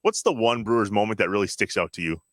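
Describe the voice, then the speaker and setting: A man's voice: lowish voice, quick pace, a bit bright, no room sound, studio quality. An American man chatting casually and enthusiastically as the co-host of a podcast. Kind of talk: casual chat.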